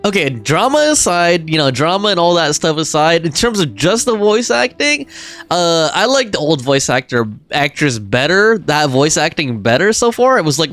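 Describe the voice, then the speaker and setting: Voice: mid-range voice, fairly bright tone. A game livestreamer chatting casually and informally with viewers, reacting and thinking aloud. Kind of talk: casual chat, reading out game dialogue and viewer comments.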